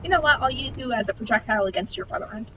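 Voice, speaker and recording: A woman's voice: expressive, rapid-fire, dull audio. A woman giving casual live gameplay commentary, playful and wry, with mock-menacing asides. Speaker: game streamer. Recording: noisy, game rumble behind. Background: video game sounds.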